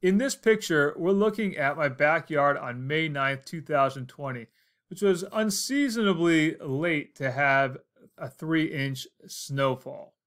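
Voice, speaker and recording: A man's voice: a little high, slow pace, fairly bright tone. An American man in his forties narrating a casual instructional screencast, explaining things step by step. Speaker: tutorial host.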